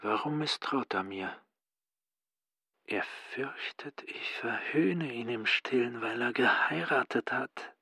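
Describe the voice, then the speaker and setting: An actor playing a calculating, controlled male psychiatrist thinking to himself in a radio play, in a measured manner. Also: mid-range voice, pushed and bright, slightly rough, no room sound, clean studio sound, full character voice. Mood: brooding. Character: calculating psychiatrist brother, controlled and privately analytical. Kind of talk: German radio play acting.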